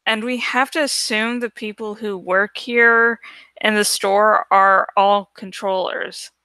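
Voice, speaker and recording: Woman reading as a bright, bossy teenage girl. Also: medium pitch, fairly even intonation, slow pace, smooth, dry recording. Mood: businesslike. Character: teenage girl narrator-heroine, brisk, bossy, teasing friend.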